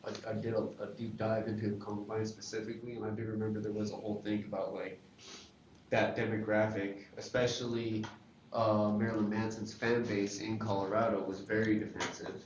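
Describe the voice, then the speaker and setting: A man speaking politely and haltingly in a casual group discussion, qualifying his points as he goes. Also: lowish voice, little pitch movement. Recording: very echoey, distant, noisy room.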